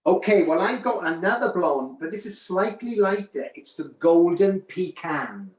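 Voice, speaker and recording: A man's voice: sits high, very animated, moderate pace. Chatty Welsh man in an echoey room. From Wales. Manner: warm, chatty, calls viewers "my love". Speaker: wig designer co-hosting a live stream. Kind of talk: casual live product demo. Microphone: video call.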